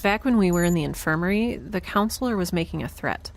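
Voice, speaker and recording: A woman's voice: on the low side, animated, fairly quick. A woman playing a quirky, self-doubting young scientist in a sci-fi audio drama, chatty and wry with hesitant, natural delivery. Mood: concerned.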